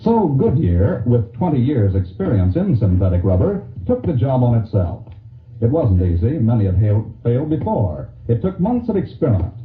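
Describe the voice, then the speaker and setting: A man's voice: mid-range voice, very animated, normal speed. A man announcing a 1940s radio commercial in a scripted sales style. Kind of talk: 1940s radio advertising read. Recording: tinny, echoey 1940s radio recording.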